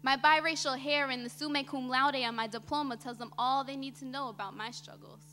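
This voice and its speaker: High, bright-voiced woman performing in a reverberant hall. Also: fairly even intonation. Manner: dramatic, deliberate phrasing with emphatic swells and quiet drops. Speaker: student monologue performer. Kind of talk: theatrical spoken-word monologue performed on stage. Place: indoor auditorium stage before an audience. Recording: reverberant hall, faint background noise.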